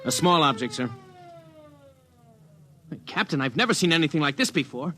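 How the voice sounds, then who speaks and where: An actor playing an anxious, deferential spaceship officer reporting urgently in a 1950s radio drama. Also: male voice, a little high, lively intonation, moderate pace, natural voice. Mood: alarmed, puzzled. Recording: vintage radio broadcast, faint noise.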